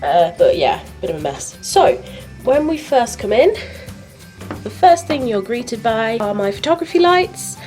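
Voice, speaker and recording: A young woman talks in a chatty, gushing ramble, her fairly high voice a touch nasal and stuffed up from hay fever. She wanders through her beauty room at home as she talks. Faint music plays underneath. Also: big pitch swings, a little slow, a little mellow. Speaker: beauty vlogger. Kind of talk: casual room tour vlog.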